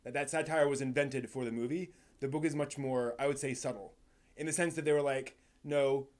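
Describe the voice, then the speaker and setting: A man's voice: mid-range voice, fairly even intonation, darkish tone. A man hosting a live stream, talking casually off the cuff to viewers, often rephrasing as he thinks aloud. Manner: halting, thinking aloud, rephrasing mid-sentence.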